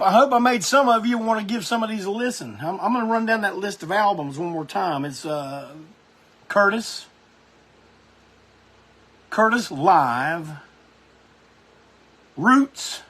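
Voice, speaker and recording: Husky-voiced man hosting a music show. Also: high voice, a bit bright. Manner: rambling, enthusiastic, folksy with frequent restarts. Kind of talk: casual music talk.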